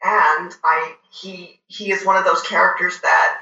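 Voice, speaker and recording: A woman in casual TV review chat, speaking deliberately and emphatically, repeating phrases for effect. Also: fairly low pitch, fairly even intonation, pushed and bright, dull audio. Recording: echoey, roomy sound.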